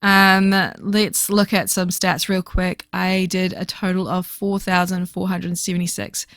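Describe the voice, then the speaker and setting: A woman recording a casual voiceover for her reading journal video, chatty and fast-talking with lots of fillers, her voice slightly hoarse. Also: lowish voice, little pitch movement, fairly bright tone, no room sound. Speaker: book vlogger.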